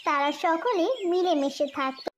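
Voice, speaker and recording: A woman's voice: extremely high pitch, a little slow, slight background noise. A woman narrating a scripted fairy tale for children as a storyteller.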